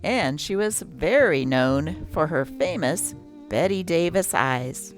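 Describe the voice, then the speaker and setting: A woman's voice: low-pitched, expressive, slow pace, faint music behind. A woman narrating from a script as host, reading an old newspaper aloud.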